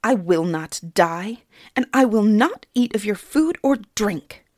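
A woman's voice: medium pitch, sing-song, measured pace, darkish tone, no room sound, clean studio sound. A woman voicing a hungry, defiant young heroine who speaks firmly. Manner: defiant, firm.

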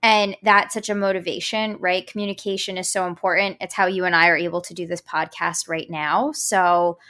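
A woman's voice: fairly low pitch, level tone, normal speed, sharp tone. A woman hosting a travel podcast who talks in an upbeat, gushing way and praises her guest often. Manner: upbeat, gushing, many "I love that". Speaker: podcast host. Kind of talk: enthusiastic podcast interview.

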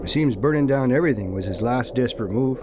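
A man's voice: medium pitch, expressive, fast talker, noisy background, natural voice. An actor playing a somber, plain-spoken, folksy man in an audio drama. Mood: grave, reflective. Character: somber, folksy man soberly explaining a tragedy.

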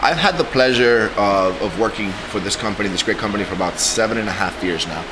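A man's voice: fairly low pitch, fast, noisy background. A man from Cuba speaking casually as a podcast guest, emphatic and often repeating his words for stress. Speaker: guest, bar general manager.

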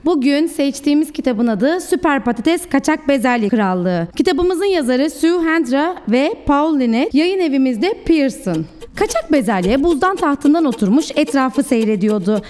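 A woman with a high voice narrates a children's storybook. She sounds like a preschool teacher, expressive and theatrical, addressing young listeners.

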